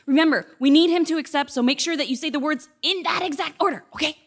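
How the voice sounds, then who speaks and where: A woman's voice: high-pitched, fast. A woman acting a fussy, anxious accomplice in a comic stage play, instructing with great precision. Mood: fussy, urgent.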